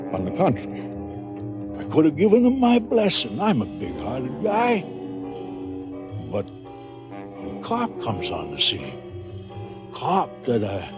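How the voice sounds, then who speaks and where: An actor playing a callous, scheming crime boss, delivering a boastful, cynical monologue in a stylized radio drama. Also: male voice, mid-range voice. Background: music.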